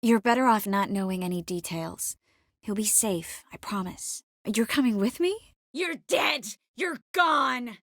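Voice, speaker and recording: An actress playing a poised, caring yet firm sorceress in scripted video game dialogue. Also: female voice, on the high side, lively intonation, unhurried, fairly bright tone, no echo, studio quality, exaggerated voice. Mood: calm, firm, then angry.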